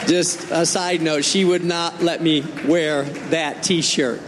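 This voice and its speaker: A man's voice: on the high side, fairly even intonation, takes it slowly, long reverb tail, background noise. A man from northern Spain preaching a sermon as a pastor, earnest and conversational, repeating his key points.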